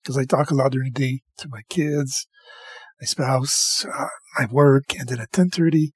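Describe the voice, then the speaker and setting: Man, mid pitch, husky and dry, rambling music-show host chat. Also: fairly even intonation, normal speed, no echo. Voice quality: slightly husky, tired night voice. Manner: chatty, rambling, enthusiastic about music.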